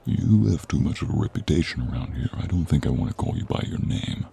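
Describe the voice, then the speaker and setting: Gruff monster hunter played by a man, extremely low husky voice, wry and informal but honourable, for audio roleplay. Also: lively intonation, a little fast, mellow, heavily acted. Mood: wry, guarded. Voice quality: gravelly, husky. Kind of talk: audio roleplay acting, speaking to the listener.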